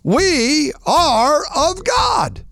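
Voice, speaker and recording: A man with a raspy voice preaching an impassioned sermon, stammering and restarting, then surging into shouted praise. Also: very high-pitched, wildly swooping pitch, extremely slow, no echo, clean studio sound. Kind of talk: fervent radio sermon.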